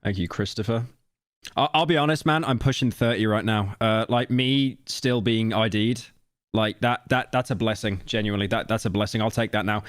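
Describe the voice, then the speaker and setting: A man in his late twenties streaming a reaction video, talking casually and rambling, with self-deprecating asides and sudden loud outbursts. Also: lowish voice, moderate pace, dry recording, clean studio sound. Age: late 20s.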